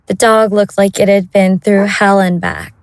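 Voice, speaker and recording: A woman's voice: medium pitch, sing-song, moderate pace, clean tone, no echo, clean studio sound. A woman narrating a scripted audio drama as its storyteller.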